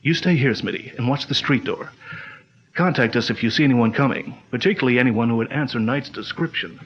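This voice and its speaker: An actor playing a calm, authoritative detective chief, speaking in a measured, deliberate way in a 1940s-style radio drama. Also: male voice, mid-range voice, lightly acted. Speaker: lead detective. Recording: dull lo-fi old radio recording.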